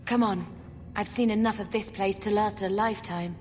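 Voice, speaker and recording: A woman's voice: medium pitch, fairly even intonation, normal speed, a little mellow, natural voice. A woman playing a cool, tart, smugly unruffled mage companion in a video game. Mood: tart, impatient. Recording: echoing hall, music behind.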